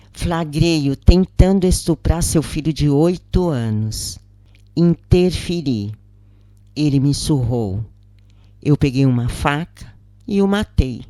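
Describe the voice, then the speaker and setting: A grave, patient imprisoned woman , as a narrator reads her, speaks slowly and earnestly with a Brazilian accent. She explains and confides in an audiobook story, with a dry, close sound. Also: rumbling low, dark tone, dry recording.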